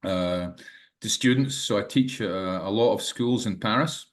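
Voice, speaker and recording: A man's voice: on the low side, unhurried. A Scottish man in his early sixties, a management teacher and author, talking casually as an interview guest, hesitant with many fillers and restarts. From Scotland. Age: 60s.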